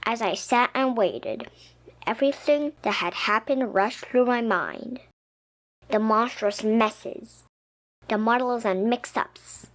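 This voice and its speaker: A woman reading a children's picture book aloud expressively, with dramatic, emphatic pauses. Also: on the high side, poor audio. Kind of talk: expressive children's storybook narration. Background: music.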